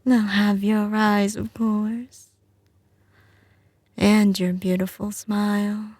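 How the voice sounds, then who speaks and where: A woman's voice: mid-range voice, flat delivery, slow, a little mellow, no echo. A woman playing a doting, confident mafia heiress girlfriend in an intimate ASMR roleplay, with a slight Southern US drawl and a tender, assured, often whispery delivery. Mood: dreamy, confident.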